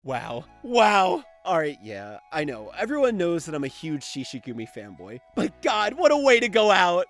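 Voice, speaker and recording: A man's voice: sits high, huge pitch swings, moderate pace, a bit bright, faint music behind. A young man giving enthusiastic video-essay commentary as a fan, casual and gushing, with some swearing.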